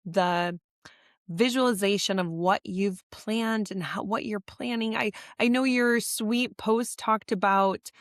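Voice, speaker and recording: Slightly hoarse woman co-host, gushing interviewer, smooth voice, dry close sound. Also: mid-range voice, fairly even intonation, takes it slowly, no echo. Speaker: podcast co-host. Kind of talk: casual chat.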